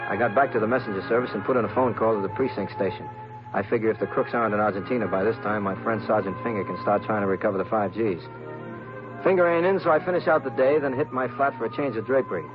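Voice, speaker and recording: A man's voice: fairly low pitch, quick pace, lightly acted. An American man playing a wisecracking, cynical private eye in an old-time radio drama, with a slangy, sardonic delivery. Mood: wry narration. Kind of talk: old-time radio detective acting and narration. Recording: dull vintage radio recording with music.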